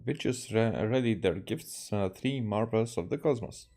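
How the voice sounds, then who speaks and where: A man with a Hungarian accent giving casual gameplay commentary, halting and repeating himself. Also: lowish voice, dark tone, a little hiss or hum. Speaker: game streamer.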